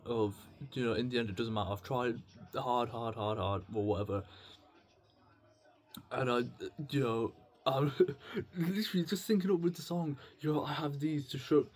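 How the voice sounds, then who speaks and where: A young man with a slightly husky voice, rambling through a self-deprecating, slangy, sweary confessional video diary. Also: mid-range voice, darkish tone. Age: young adult. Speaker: solo video diarist. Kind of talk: rambling, confessional casual chat to camera.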